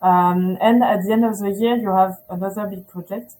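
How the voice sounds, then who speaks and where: Lady doing a school webinar, mellow voice, lots of uhs. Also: medium pitch, steady tone, moderate pace, rough recording. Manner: hesitant, with frequent fillers. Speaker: school representative presenting a webinar. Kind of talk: webinar presentation.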